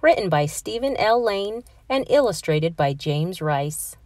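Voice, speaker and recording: Expressive, unhurried woman reading a storybook. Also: medium pitch, no echo. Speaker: storybook reader. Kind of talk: children's read-aloud, rhyming scripted narration.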